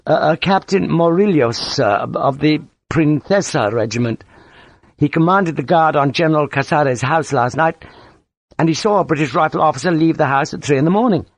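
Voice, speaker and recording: A man's voice: fairly high pitch, moderate pace, no room sound, pitched above the performer's own voice. A man voicing a timid young army lieutenant, hesitant and deferential, with a nervous stammer, in an audiobook story. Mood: anxious, hesitant.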